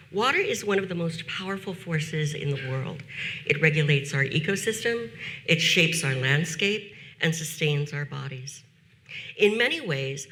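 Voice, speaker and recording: Gravelly-voiced woman teacher giving a talk. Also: deep voice, sharp tone. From United States. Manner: measured, deliberate delivery with slowed emphasis on key phrases. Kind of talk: prepared conference talk.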